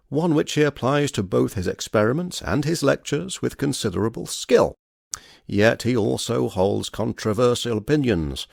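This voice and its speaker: Actor as a gravelly, dignified older Victorian knight. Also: male voice, mid-range voice, a little mellow, no echo, clean studio sound, full character voice. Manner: formal, oratorical, courteous. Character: dignified older Victorian knight, courteous, measured committee member. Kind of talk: theatrical period audio drama acting.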